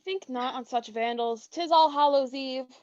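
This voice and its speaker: A woman's voice: high-pitched, normal speed, darkish tone, lightly acted. A woman acting a carefree, teasing teenage girl bantering in Shakespearean style, on a dull lo-fi recording. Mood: flippant. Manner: playful archaic banter. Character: teasing, carefree teenage daughter, mischievous friend.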